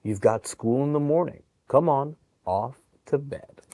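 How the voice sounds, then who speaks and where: Stern, scolding fish dad, acted by a male reader for a picture book, mid-pitched, dry sound. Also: measured pace, mellow, no room sound, close to an everyday voice. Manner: firm, scolding. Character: stern fish father sending children to bed. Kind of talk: storybook read-aloud.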